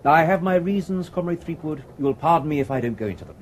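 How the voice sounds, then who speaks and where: A suave, long-winded gentleman impostor played by a man in an old radio comedy, upper-class English in accent. He is pompously polite and never ruffled, in a lightly high voice with very varied intonation, on a noisy recording. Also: lively intonation, fast talker, lightly acted. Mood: breezy, confident. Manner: elaborately polite, pompous, droll. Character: suave, verbose, unflappable young gentleman impostor. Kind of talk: 1980s radio comedy acting.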